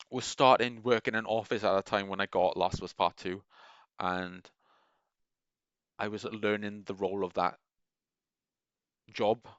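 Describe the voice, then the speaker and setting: A man's voice: low voice, fairly bright tone, clean tone, no echo, lo-fi sound. A man talking in a casual, meandering monologue, enthusiastic and full of restarts. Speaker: gaming video creator.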